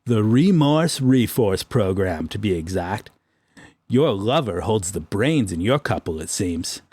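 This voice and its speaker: A gloating, pompous superhero sergeant played by a man in a comic audio drama. He taunts slowly and theatrically, with big, animated swoops of pitch. The recording is close and dry. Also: breathy edge, no echo, full character voice. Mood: smug, taunting.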